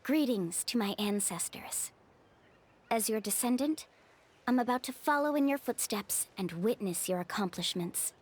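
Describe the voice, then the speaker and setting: A woman's voice: a little high, animated, normal speed. A woman voicing a cheerful, confident young female guide in a video game, upbeat and encouraging. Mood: earnest, upbeat. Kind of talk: animated video game dialogue.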